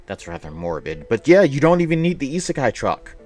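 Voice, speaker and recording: A man's voice: mid-range voice, very animated, a little fast, a little mellow. Man rambling over game music. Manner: rambling, repeats himself. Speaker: game streamer at a charity event. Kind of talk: casual live game-stream commentary. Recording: music playing behind the voice.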